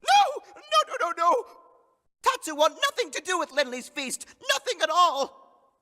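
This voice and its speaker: Squeaky, excitable little creature. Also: female voice, measured pace, exaggerated voice. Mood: panicked. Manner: third-person broken grammar, stammering, squeaky. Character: small excitable creature sidekick, childlike, easily panicked. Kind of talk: comic video game character acting. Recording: reverberant hall sound.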